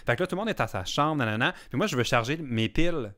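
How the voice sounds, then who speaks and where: A man with a Quebec French accent, hosting a casual podcast and telling stories in an animated way. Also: medium pitch, fast. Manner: animated storyteller, laughs often. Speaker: podcast host.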